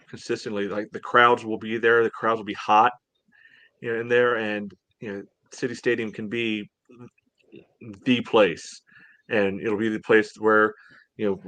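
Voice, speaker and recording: Low-voiced man in casual sports talk. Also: fairly bright tone, no echo. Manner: peppers talk with "you know". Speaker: soccer podcast co-host.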